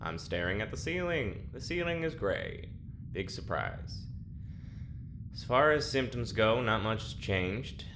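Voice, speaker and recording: A man's voice: fairly low pitch, very animated, measured pace, background noise. A man playing a tired, sardonic crewman in an audio drama, grumbling and wry. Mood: weary, wry. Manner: wry, weary complaining. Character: tired, sardonic young male diarist on a spaceship.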